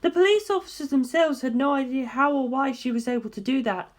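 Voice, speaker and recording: A woman acts out a young woman from an audiobook story, high in pitch and sure of herself. She talks at length, assertive and knowing, with a teasing edge. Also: brisk, close to an everyday voice. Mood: insistent, knowing. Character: Darlington, sharp teasing young English woman. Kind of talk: dramatic audiobook character dialogue.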